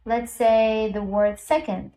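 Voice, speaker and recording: A woman with a fairly high voice, a native Persian speaker talking in English. She walks viewers through an app one step at a time in a relaxed, chatty way. Her talk is full of ums and uhs. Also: slow pace. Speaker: language teacher and YouTuber. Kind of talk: casual screen-recorded app walkthrough.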